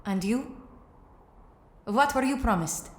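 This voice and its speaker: A woman's voice: on the high side, animated, slow, a little mellow. A woman playing a proud rebel leader turned queen in an audio drama, with a regal, assertive, declamatory delivery. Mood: probing. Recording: echoey hall reverb, faint music.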